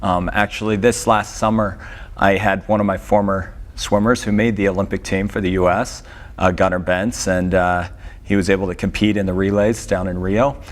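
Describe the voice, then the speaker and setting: A middle-aged man, a coach, giving unscripted interview answers with hesitant, halting starts. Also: low voice, little pitch movement. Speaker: swimming coach, interview guest.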